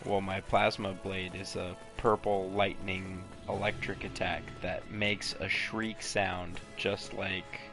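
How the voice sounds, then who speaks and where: Low gravelly young man chatting while gaming. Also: little pitch movement, takes it slowly, few pauses. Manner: chatty, laughs, swears, hums to himself. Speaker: game streamer. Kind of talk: casual live gameplay chat. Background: game music.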